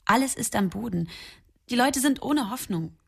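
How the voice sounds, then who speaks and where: An actress playing a blunt, teasing, world-weary young woman in a radio drama, with a husky, creaky voice and a frank way of talking. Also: fairly high pitch, expressive, normal speed, heavily acted. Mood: bitter, weary.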